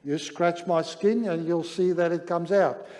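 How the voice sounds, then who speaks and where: A man from Southern Africa with a South African accent, speaking English as a third language, giving a measured, deliberate sermon-style seminar talk with pauses between phrases. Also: a little high, fairly even intonation, dark tone. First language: not English, which is a third language. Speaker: pastor, seminar presenter. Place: large church hall, speaking to an audience. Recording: reverberant hall, long echo.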